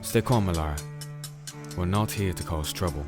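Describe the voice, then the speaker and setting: A man playing a calm, firm crusader in a fantasy audio drama, narrating and speaking in a measured, solemn way. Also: low-pitched, over music, lightly acted. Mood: calm, probing. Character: disenchanted male crusader, calm, firm sword-bearing investigator. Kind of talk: audio drama dialogue and first-person narration.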